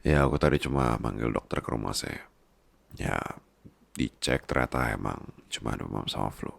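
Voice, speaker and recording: A man's voice: a bass voice, normal speed, vocal fry, full character voice. A man playing a doting, worried boss husband who is clingy like a big baby, coaxing and teasing tenderly in an intimate ASMR roleplay. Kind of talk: intimate ASMR boyfriend-style roleplay in casual talk.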